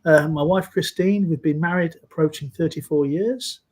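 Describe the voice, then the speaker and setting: A man in his sixties from Scotland, speaking in a measured, earnest way as a guest in a live video-call interview. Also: fairly high pitch, a little mellow, breathy edge. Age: 60s. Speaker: interview guest, safety leader.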